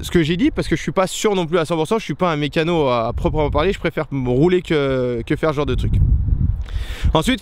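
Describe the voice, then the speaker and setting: A man's voice: fairly high pitch, a little hiss or hum. A young man talking casually to a handheld camera outdoors, chatty and explaining things with lots of fillers and restarts. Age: young adult. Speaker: motorcycle stunt vlogger. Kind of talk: casual vlog talk to camera. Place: outdoors on a paved lot. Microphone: handheld camera at arm's length. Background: outdoor ambience, passing plane.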